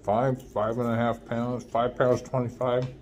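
A man in his forties doing a casual food review at home, chatty and relaxed, filmed on a phone at arm's length while eating. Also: medium pitch, a little slow, slight background noise. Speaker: food vlogger. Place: small room at home, window blinds. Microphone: phone camera at arm's length.

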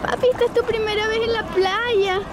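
A woman's voice: fairly even intonation, a little fast, a loud crowd. A woman in her thirties filming her dog at an outdoor dog beach, calling to it in coaxing baby talk. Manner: high coaxing sing-song pet talk. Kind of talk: casual home video, baby talk to a dog. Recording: banjo music laid over the voice.

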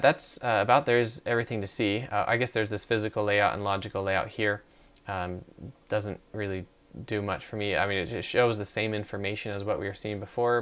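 A man's voice: lowish voice, fairly bright tone. A middle-aged man giving a casual screen walkthrough in a hesitant, conversational way. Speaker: tutorial presenter.

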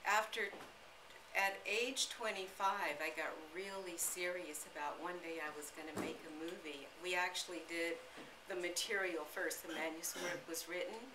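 An American woman aged sixty giving an earnest, deliberate autobiographical talk to a gathering as a guest speaker. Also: lowish voice, steady tone. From United States. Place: indoor living-room-style salon. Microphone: camera a few metres away. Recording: roomy, noisy recording from a distance.

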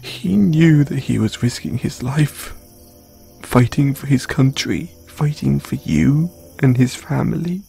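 A man performing an intimate comfort roleplay as a gentle boyfriend consoling his grieving partner, speaking in a soothing, tender, hushed way. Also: medium pitch, expressive, slow pace, gravelly, soft background music.

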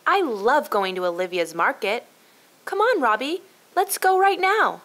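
Chirpy big-sister girl for a storybook played by a woman, high and very animated. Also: a little slow, full character voice. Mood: eager. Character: eager, bright young girl, helpful big sister.

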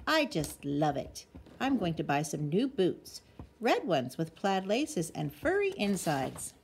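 A woman voicing an excitable little girl koala from a storybook, dramatic and whiny, in an emphatic delivery. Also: lowish voice, slow pace, slight background noise, full character voice. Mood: eager.

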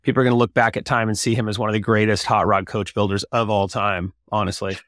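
A man's voice: on the low side, flat delivery, fast, a bit bright, no echo, studio quality. A middle-aged man co-hosting a podcast in casual chat over a headset mic on a video call, mostly putting in short agreeing remarks. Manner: brief supportive interjections. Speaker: podcast co-host.

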